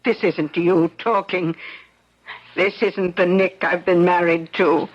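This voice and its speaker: An actress playing a worried older wife who gently comforts her husband, faltering and stammering softly, in a 1970s radio drama. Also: on the low side, steady tone, takes it slowly. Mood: pleading. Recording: dull lo-fi 1970s radio recording.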